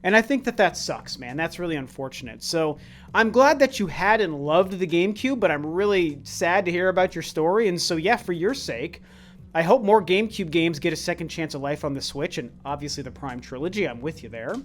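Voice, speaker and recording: A man's voice: fairly high pitch, animated, brisk. A man around forty hosting a casual question-and-answer chat, talking earnestly with a tired, worn voice. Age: about 40. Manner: earnest, conversational, with frequent restarts. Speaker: YouTube channel host.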